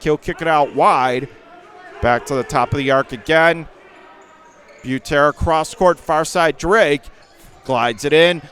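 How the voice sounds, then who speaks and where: Higher-voiced American male basketball play-by-play announcer. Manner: rattles off clipped phrases, rising to excited calls on plays. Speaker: play-by-play commentator. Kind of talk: live basketball radio play-by-play. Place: indoor high school gymnasium.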